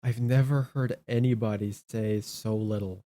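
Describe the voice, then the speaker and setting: Male podcast host, slightly low voice, blunt and dismissive, informal talk. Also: fairly even intonation, moderate pace, dark tone, dry recording. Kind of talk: casual political chat.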